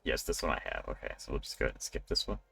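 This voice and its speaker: A man's voice: rumbling low, sing-song, very fast, gravelly, a bit bright, breathy edge. A man doing casual live gameplay commentary as a streamer, thinking aloud as he plays. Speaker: game streamer. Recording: game music faintly behind. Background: faint video game music.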